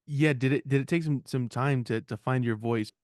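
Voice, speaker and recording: A man's voice: medium pitch, normal speed, a little mellow, no room sound, clean studio sound. A male podcast host asking casual questions, often restarting and repeating words as he goes.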